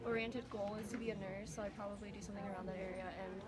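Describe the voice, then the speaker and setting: A woman's voice: mid-range voice, fairly even intonation, rapid-fire, a loud crowd. A young woman answering interview questions, tentative and hedging with "I guess.". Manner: tentative, says "I guess" often. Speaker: interviewee, nursing student.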